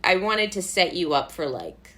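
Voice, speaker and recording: Low-pitched American woman chatting casually. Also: big pitch swings, moderate pace, a bit bright. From Brooklyn, United States. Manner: informal, rambling, self-deprecating, amused. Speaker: interview guest, playwright. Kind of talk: casual interview chat.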